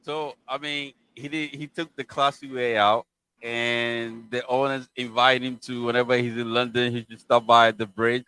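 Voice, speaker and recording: A man's voice: medium pitch, level tone, moderate pace, sharp tone, clear, resonant tone, rough recording. A man co-hosting a casual football chat, who laughs as he retells stories. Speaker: podcast co-host.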